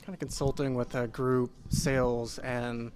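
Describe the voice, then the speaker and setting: A young man, a guest in a casual interview, polite and soft-spoken, answering with many pauses and fillers. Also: medium pitch, little pitch movement. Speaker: guest, group sales consultant.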